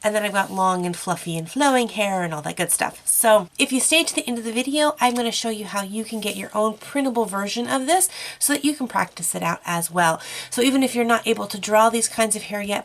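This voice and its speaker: Woman, art tutorial host, stuffy nose from a cold, chatty, encouraging, mid pitch. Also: fast talker. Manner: chatty, lots of ums, encouraging.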